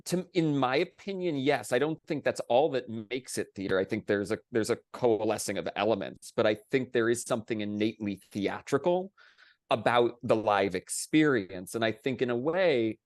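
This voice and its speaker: A man's voice: medium pitch, lively intonation, moderate pace, no echo, studio quality. A man speaking as a panelist on a video call, conversational and discursive, circling back to restate his points.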